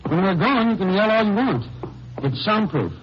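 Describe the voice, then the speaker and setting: An actor playing a cold, calm turncoat villain in a 1950s radio crime drama. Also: male voice, high-pitched, moderate pace. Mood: cold, curt. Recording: dull, noisy old radio recording.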